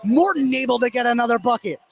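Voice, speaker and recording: A man doing live play-by-play basketball commentary on radio, getting excited on big plays. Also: extremely high pitch, fast talker, gravelly, crowd noise. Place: indoor school basketball gym. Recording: noisy gym ambience behind.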